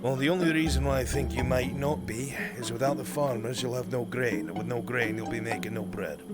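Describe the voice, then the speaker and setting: A man playing a calm, stern traveling stranger with measured, archaic speech in an improvised roleplay. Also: medium pitch, close to an everyday voice. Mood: deliberating. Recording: loud music over the voice.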